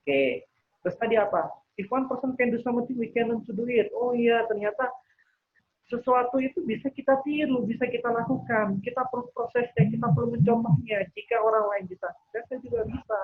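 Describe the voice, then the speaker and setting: A man's voice: extremely high pitch, fairly quick. A man teaching interactively as a trainer, explaining, checking that listeners follow and voicing imagined thoughts as examples. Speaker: NLP trainer leading a webinar. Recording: thin phone-like webinar audio.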